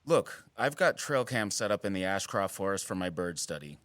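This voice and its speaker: A wry field biologist in an audio drama, lowish voice, really animated, teasing but earnest. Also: male voice, moderate pace, dry recording. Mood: earnest, conspiratorial. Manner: teasing, dry sarcasm. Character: wry, guarded wildlife biologist, earnest about protecting habitat. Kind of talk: naturalistic audio drama acting.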